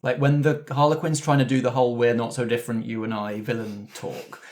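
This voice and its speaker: A man's voice: mid-range voice, little pitch movement, a little fast, darkish tone. Man leading a casual group chat. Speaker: game master and discussion host. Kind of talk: casual post-game discussion.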